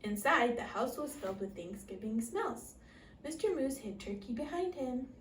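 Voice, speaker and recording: A woman's voice: fairly high pitch, sing-song, moderate pace. A woman teaching preschool English, reading a storybook aloud expressively and prompting children to repeat. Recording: echoey room, distant mic, faint noise.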